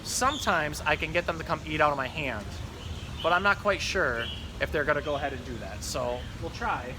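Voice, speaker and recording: A man with a slightly high voice talks casually and informatively as a zookeeper host. There is noticeable ambient noise behind him throughout. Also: expressive, fairly quick. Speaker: zookeeper host showing pond fish. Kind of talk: casual educational talk.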